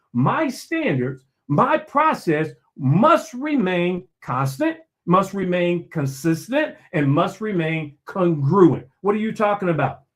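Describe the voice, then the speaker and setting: A man giving a motivational talk, preachy and emphatic, repeating key phrases and asking himself questions that he then answers. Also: sits high. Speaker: motivational speaker.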